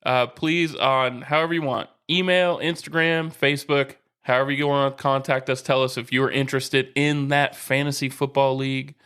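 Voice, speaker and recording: A man's voice: mid-range voice, fairly even intonation, normal speed, few pauses, fairly bright tone, smooth. Laid-back guy cohosting a podcast, chatty and into sports. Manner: easygoing, talks sports with a fan's enthusiasm. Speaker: podcast co-host. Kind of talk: casual chat.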